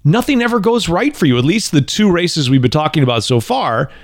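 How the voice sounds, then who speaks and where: A man's voice: fairly high pitch, fairly quick, no room sound, studio quality. A man in his forties hosting a casual podcast chat, joking and often restarting his sentences. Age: 40s. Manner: animated, joking, restarts and repeats words. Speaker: podcast host.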